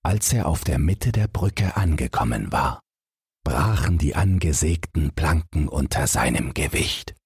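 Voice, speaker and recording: Low, very husky male storyteller. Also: no echo, studio quality. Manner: measured, expressive storytelling. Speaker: audiobook narrator. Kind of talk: scripted bedtime fairy-tale narration.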